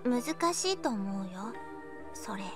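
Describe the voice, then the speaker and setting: A woman's voice: medium pitch, sing-song, moderate pace, music in the background, natural voice. A woman playing a mysterious, mischievous and affectionate young girl in a Japanese visual novel. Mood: hesitant.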